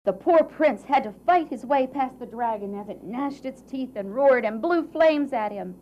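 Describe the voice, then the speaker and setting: A woman narrating a children's fairy tale at a live puppet show, with dramatic, drawn-out phrasing that swells and hushes for emphasis. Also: high voice, lively intonation. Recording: dull, noisy live event recording.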